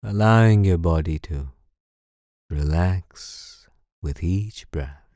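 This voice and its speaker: A man leading a guided meditation in a calm, soothing, measured way. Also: sits low, no echo, studio quality. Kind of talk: slow guided meditation narration.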